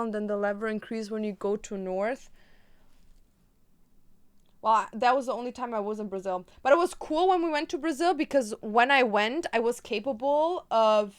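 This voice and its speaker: A woman's voice: fairly high pitch, clean tone. A young woman from Germany, a native Spanish speaker, chatting casually and rambling with lots of fillers while she crafts on stream.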